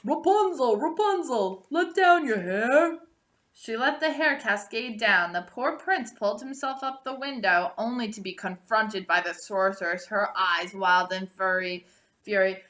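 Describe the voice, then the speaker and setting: A woman narrating a storybook aloud, expressively and deliberately, drawing out the ends of phrases. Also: medium pitch, pushed and bright. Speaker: storybook reader.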